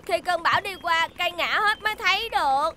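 A woman's voice: very high voice, lively intonation, a little fast, background noise. A woman voicing a helpful, alert young robot rescue vehicle, earnest, in a children's cartoon dub. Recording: music under the dialogue.

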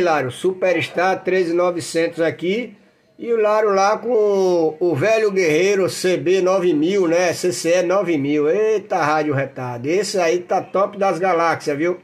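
A Brazilian man chatting casually as an amateur radio operator, enthusiastic and folksy, full of slang and jargon. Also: sits high. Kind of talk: casual radio contact chat with running commentary.

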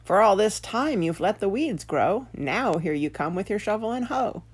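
A woman's voice: mid-range voice, animated, normal speed, husky, voice pushed up. A woman voicing a grumpy, indignant talking potato that scolds, in a children's tale. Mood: grumpy, scolding.

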